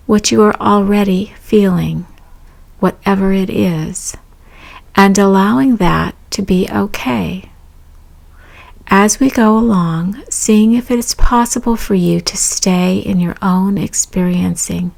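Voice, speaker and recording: A woman meditation teacher narrating a guided practice in a gentle, measured way, with pauses between phrases. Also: fairly low pitch, creaky.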